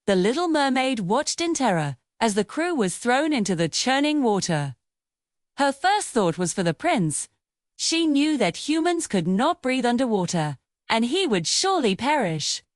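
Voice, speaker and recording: A woman with a fairly high voice narrates a fairy tale. Her delivery is measured and dramatic, with lively rises and falls. The recording is dry, with very little room sound. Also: no echo. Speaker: storyteller.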